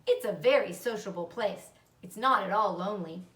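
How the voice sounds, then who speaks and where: A woman's voice: mid-range voice, normal speed, a bit bright, lightly acted. A woman reading a children's book, voicing an indignant eight-year-old girl with an emphatic, protesting manner. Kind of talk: children's book read-aloud. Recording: roomy echo, distant microphone.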